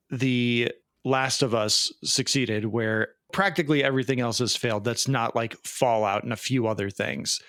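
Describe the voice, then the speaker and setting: A man's voice: medium pitch, a bit bright, no room sound. Wry man, dry close sound. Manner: sarcastic, wry. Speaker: podcast co-host. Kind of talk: casual pop culture chat.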